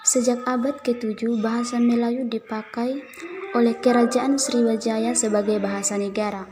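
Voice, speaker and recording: A woman's voice: a little high, level tone, moderate pace, background noise. A young woman student reading a class presentation, working through a list with small stumbles. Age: young adult.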